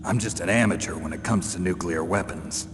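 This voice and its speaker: Game role: sardonic operative, acted by a man, deep husky voice, clipped delivery, long reverb. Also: fairly quick, music in the background, close to an everyday voice. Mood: wary, dry. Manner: terse, dry, sardonic. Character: gruff, cynical male special-forces operative, middle adulthood. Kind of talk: dramatic video game dialogue. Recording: echoing, reverberant, noisy game audio clip.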